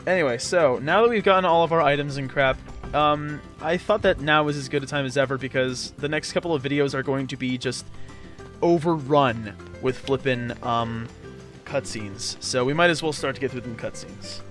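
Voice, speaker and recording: A man's voice: mid-range voice, moderate pace, a bit bright, soft background music. A young man giving casual, rambling gameplay commentary with frequent stammers as he explains. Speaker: gamer, let's play commentator.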